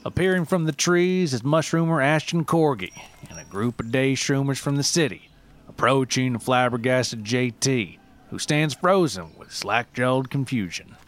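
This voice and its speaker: A man's voice: mid-range voice, normal speed. A man reading scripted mock-documentary narration in a theatrical, mock-serious manner.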